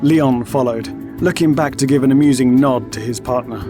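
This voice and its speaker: A man's voice: mid-range voice, normal speed, music in the background. A man reading scripted narration for an audio drama.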